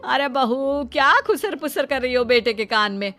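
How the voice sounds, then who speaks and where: A woman playing a doting, stubborn middle-aged mother-in-law in a cartoon story, with exclaiming, drawn-out homely speech. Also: high voice, fairly bright tone, faint music behind. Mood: scolding. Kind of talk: Hindi cartoon story acting.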